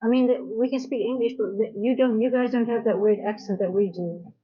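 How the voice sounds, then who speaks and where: A woman's voice: a little high, fairly quick, muffled recording. Dutch woman chatting on a live stream. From Netherlands. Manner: rambling, frank, many fillers and restarts. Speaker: trading coach streaming live. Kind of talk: casual live-stream chat. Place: home in South Africa.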